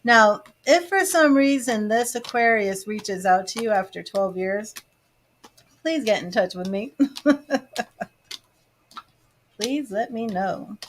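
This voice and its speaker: A woman's voice: mid-range voice, expressive, slow, long pauses, slight background noise. A woman giving live tarot readings, chatting to viewers by name and musing over the cards. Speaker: tarot reader.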